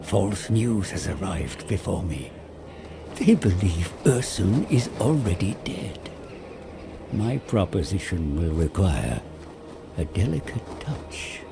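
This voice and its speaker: An actor playing a cursed, scheming old scholar, narrating a dark fantasy tale in a theatrical, portentous, deliberate way. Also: male voice, deep voice, sing-song, creaky, background noise, heavily acted. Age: older. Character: cursed, scheming old male scholar, servant of a magic tome. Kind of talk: dramatic scripted fantasy narration.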